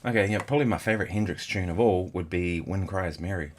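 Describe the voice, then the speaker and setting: Sniffly man chatting over loud guitar. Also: low-pitched, fast talker. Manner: chatty, thinking aloud between guitar playing. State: sniffly from a stuffy nose. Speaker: guitarist hosting a livestream. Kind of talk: casual livestream chat. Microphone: in-ear monitors, studio mic. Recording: livestream with loud guitar behind. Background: loud electric guitar.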